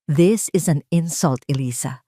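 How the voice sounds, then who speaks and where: A loyal Filipino woman friend, voiced by a woman narrator in a story drama. She is serious and firm, with quiet indignation, recorded dry and lowish. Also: a little slow, no room sound.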